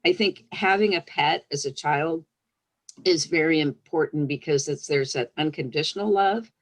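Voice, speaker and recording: A woman's voice: sits low, moderate pace. A woman who is a children's book author, speaking as a guest on a storytime show, reading aloud or chatting casually. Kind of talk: storybook read-aloud, then casual interview answers.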